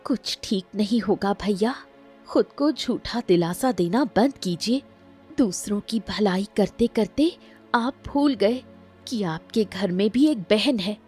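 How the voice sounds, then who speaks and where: A woman's voice: medium pitch, expressive, slow, warm tone, husky, soft background music. A woman voicing a tearful, reproachful young sister in a melodramatic cartoon story, plaintive and accusing. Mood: angry reproach.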